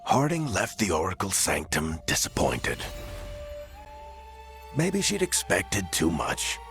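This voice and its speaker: A brooding fantasy game storyteller with an extremely deep voice. He narrates gravely and slowly, with dramatic rises and falls, over clear music. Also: huge pitch swings, fairly bright tone, exaggerated voice. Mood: grave, ominous. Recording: music behind the voice.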